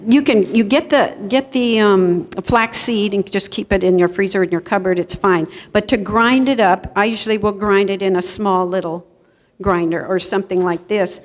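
Woman, middle-aged, cooking class presenter. Conversational and explanatory, mid-pitched, recorded live in a reverberant hall. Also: normal speed. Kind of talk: informal live cooking demonstration. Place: church kitchen hall, indoors, before an audience.

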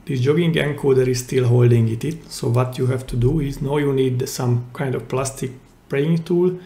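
A man giving a hands-on, step-by-step repair tutorial in simple, careful phrases with pauses mid-sentence, recorded indoors at a workbench. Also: medium pitch, mellow. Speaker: DIY repair channel host. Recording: roomy, echoey indoor sound.

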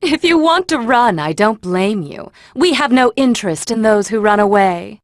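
A woman's voice: fairly high pitch, lively intonation, moderate pace, no echo, clean studio sound, natural voice. A woman voicing a cool, confident female android fighter in an anime game, aloof and teasing. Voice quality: husky. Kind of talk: dubbed anime video game acting.

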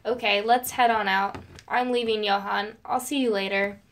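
A woman's voice: medium pitch, fairly even intonation, normal speed, fairly bright tone, lightly acted. A woman playing a chatty, romantic teenage girl in an amateur audio drama. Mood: friendly.

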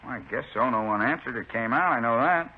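A defensive tradesman under suspicion played by a man, quick and curt in a mid-range voice. It is 1950s radio acting on a muffled old recording. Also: mid-range voice, a little hiss or hum, natural voice. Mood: defensive, irritated. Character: defensive, irritated male murder suspect, a tradesman.

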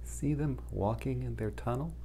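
A middle-aged man reading a children's picture book aloud in a gentle, deliberate manner, explaining as he goes. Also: medium pitch, slight background noise. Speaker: storytime host, pastor. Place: living room, indoors.

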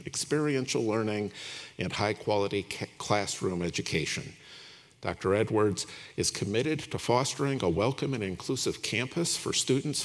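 A man with a creaky, gravelly voice delivers a formal introduction from a podium. He is hosting a graduation ceremony, and the large hall adds long reverb to his words. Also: lowish voice.

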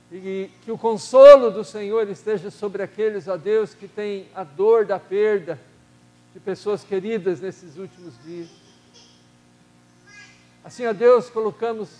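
An older man leading a solemn, measured prayer in a church sanctuary as minister, his voice softening at the ends of phrases. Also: sits high. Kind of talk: slow formal congregational prayer.

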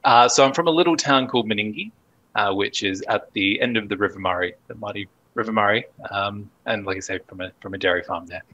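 A man in his thirties with an Australian accent, speaking as a guest on a video call and explaining things in a relaxed, steady way. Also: fairly low pitch, fast talker, dull audio. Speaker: podcast guest, fertilizer analyst. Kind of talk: casual interview talk. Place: home office on video call.

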